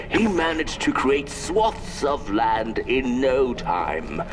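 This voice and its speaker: A man reading scripted mythic narration in a video game, as a storyteller telling a legend. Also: medium pitch.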